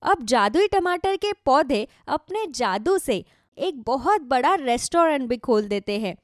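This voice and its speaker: Animated woman narrating a children's story. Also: high-pitched, a little slow, no room sound, studio quality.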